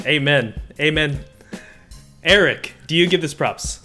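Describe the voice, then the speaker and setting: High-pitched man hosting a podcast segment. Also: unhurried, pushed and bright, faint music behind. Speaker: podcast host. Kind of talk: upbeat banter, presenting segment stories.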